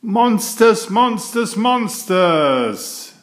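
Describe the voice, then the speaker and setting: A man voicing an eager, bossy little sister in a storybook, with excitable sing-song exclamations. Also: high-pitched, drawn-out words, pitched above the performer's own voice, full character voice. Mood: excited.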